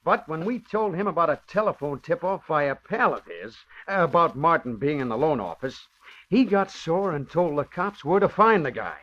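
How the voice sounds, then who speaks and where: Eager, high-voiced slangy reporter. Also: male voice, expressive, moderate pace, a bit bright. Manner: breezy, slangy. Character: eager, slangy young tough-talking newspaper reporter. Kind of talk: 1940s radio acting. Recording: muffled old radio recording.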